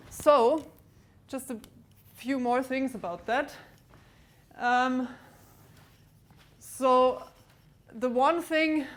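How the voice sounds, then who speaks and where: A woman giving a maths lecture to students in a large lecture theatre, pausing often with ums and restarts. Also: on the high side. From Austria. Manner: hesitant, many ums and restarts, walks about with a pointer. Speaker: mathematics lecturer.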